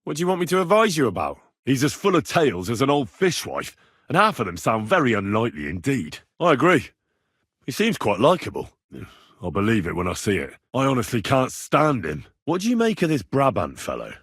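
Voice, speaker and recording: Young male medieval adventurer: husky, dry close sound, earnest and blunt, streetwise, colloquial game dialogue. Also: mid-range voice, wildly swooping pitch, a little fast, fairly bright tone, dry recording, exaggerated voice. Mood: earnest, conversational. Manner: colloquial British phrasing, plain-spoken. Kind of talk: video game dialogue voice acting.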